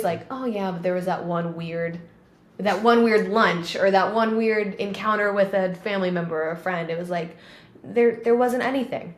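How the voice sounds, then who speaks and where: A woman in her thirties, co-hosting a podcast, telling stories in a chatty, casual way with many fillers. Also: fairly low pitch. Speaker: podcast co-host. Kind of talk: casual conversation. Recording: roomy with faint background noise.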